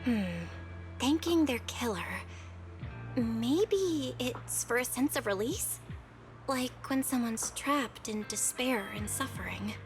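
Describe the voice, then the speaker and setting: A woman's voice: a little high, takes it slowly, music in the background. A woman voicing an earnest, inquisitive young investigator in a video game, conversational and thoughtful. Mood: pensive.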